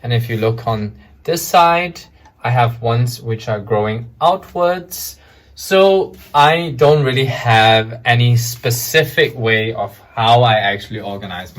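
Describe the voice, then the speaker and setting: A man from Malaysia, a guest calmly explaining things while showing his plant shelves at home. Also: mid-range voice. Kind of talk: casual home tour explanation.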